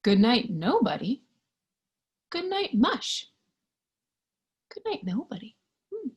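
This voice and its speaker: A woman reading a picture book to young children in a gentle, singsong way, sometimes hushed, asking the listener simple questions with childlike wonder. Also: on the high side, wildly swooping pitch, takes it slowly, slightly rough. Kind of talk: interactive children's read-aloud with questions to the listener.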